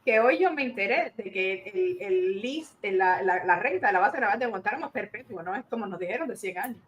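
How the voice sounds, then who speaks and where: A woman's voice: medium pitch, expressive, fairly quick, muffled recording, slight background noise. A woman with a Cuban accent hosting a casual conversational interview, phrasing her thoughts hesitantly. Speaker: interviewer, host.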